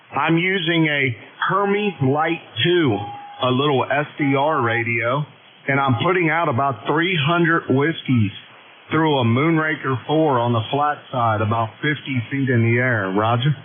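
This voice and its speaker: Male, Northern Irish accent, medium pitch, radio operator reporting his setup, tinny band-limited audio, static. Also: a little slow. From Northern Ireland.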